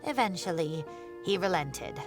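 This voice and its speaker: A woman voicing an older, genteel female professor in a video game, chatty and expressive in an old-fashioned way. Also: lowish voice, a little slow, over music. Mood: concerned.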